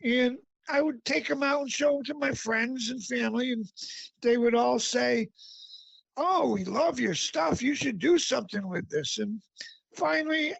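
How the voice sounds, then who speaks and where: A middle-aged man with a raspy voice, a guest author talking casually in an interview, halting often with fillers. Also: extremely high pitch, fairly bright tone, no echo, highs cut off. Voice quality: raspy, gravelly.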